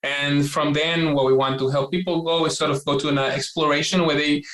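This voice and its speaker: A man's voice: a little high, little pitch movement, a little fast, fairly bright tone. A man in his forties from Puerto Rico with a Puerto Rican accent, a guest scientist on a podcast. He talks eagerly, with many fillers and repeated words. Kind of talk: podcast interview. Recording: roomy echo.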